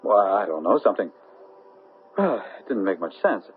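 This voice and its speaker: Casting: Everyman falling under alien control, low voice, wide swings in delivery, vintage radio play. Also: very animated, normal speed, narrow, tinny audio, a little hiss or hum. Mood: puzzled, breezy. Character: Harry, a breezy man being taken over by an alien. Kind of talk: 1970s radio drama acting. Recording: thin band-limited 1970s radio broadcast.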